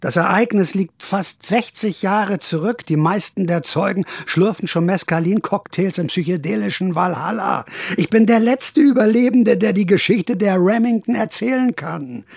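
An actor playing an excitable, wry old man who narrates a radio play in a chatty, self-mocking, rambling way. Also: sits high, moderate pace, gravelly, hardly any pauses, breathy edge, no room sound, clean studio sound, heavily acted. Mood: excited, insistent. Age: older. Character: rambling, excitable old male narrator, bald amateur sleuth of his past.

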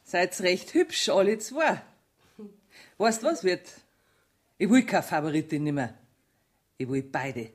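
A woman's voice: fairly low pitch, lively intonation, slow pace, pauses often, slightly rough, exaggerated voice. An actress playing a shrewd, domineering female banker in a radio play, speaking blunt, earthy Bavarian dialect. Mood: delighted.

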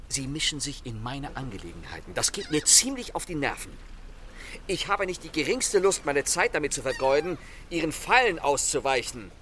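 A man playing a suave, mocking gentleman thief in a German radio play, with a theatrical, commanding manner. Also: mid-range voice, slight background noise. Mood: smug, irritated. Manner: theatrical, urbane, playfully threatening.

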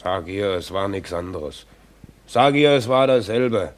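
An actor playing a defiant young soldier held prisoner in a radio play, blunt and sullen. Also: male voice, low voice, animated, unhurried. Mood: bitter. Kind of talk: German radio play acting.

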